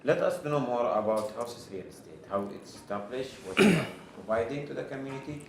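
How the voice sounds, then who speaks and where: A man's voice: medium pitch, animated, fairly quick, over a noisy crowd. A man hosting an interview, introducing his guest formally and asking questions. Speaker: interview host. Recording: roomy echo.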